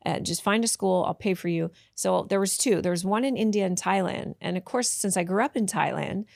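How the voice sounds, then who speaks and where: A woman's voice: mid-range voice, fairly quick, creaky, no room sound, clean studio sound. A woman guest telling her life story in a candid, reflective way, often restarting sentences. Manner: frank, reflective, with restarts and soft asides. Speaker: podcast guest, tantra teacher.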